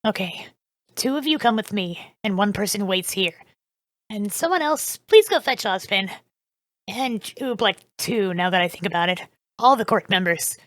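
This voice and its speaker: A woman's voice: mid-range voice, expressive, moderate pace, a bit bright, no room sound, natural voice. A woman playing a young, decisive but wary queen in a fan dub, earnest and polite when giving orders.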